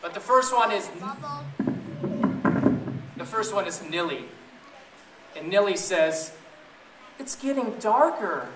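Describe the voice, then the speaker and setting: A man reading a picture book aloud to a group of children in a playful, chatty way, pausing to ask them questions. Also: sits high, animated. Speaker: storybook reader to children. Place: echoey room with an audience of families. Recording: roomy, distant event recording with audience noise.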